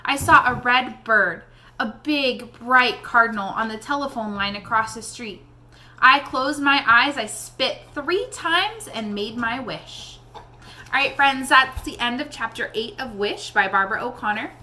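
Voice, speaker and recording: Bright, high-voiced woman reading a story aloud. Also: measured pace. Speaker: read-aloud reader addressing young listeners. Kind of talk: expressive storybook narration.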